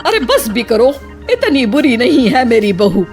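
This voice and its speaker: A woman's voice: a little high, very animated, unhurried, music in the background. A woman voicing a bossy, coaxing older mother-in-law in a cartoon, with an exclamatory delivery. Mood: scolding. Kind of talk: Hindi cartoon story dialogue.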